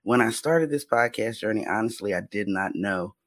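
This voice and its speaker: Woman podcast host, low raspy husky voice, chatty, dry close mic. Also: moderate pace, no echo. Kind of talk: casual interview chat.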